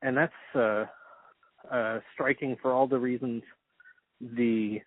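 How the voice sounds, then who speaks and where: A man interviewing conversationally, with a tentative, halting and deferential manner. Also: mid-range voice, fairly even intonation, phone-quality sound.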